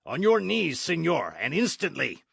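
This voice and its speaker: A man's voice: lowish voice, big pitch swings, normal speed, fairly bright tone, no echo, studio quality. A man reading aloud and voicing a proud, gallant masked male outlaw with a commanding, theatrical delivery.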